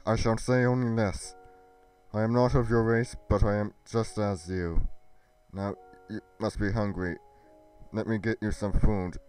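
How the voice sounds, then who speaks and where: Guarded young woman in a game roleplay, played by a man, low-pitched, hesitant, stammering. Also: fairly even intonation, unhurried, a little mellow, smooth, soft background music, lightly acted. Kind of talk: amateur scripted roleplay.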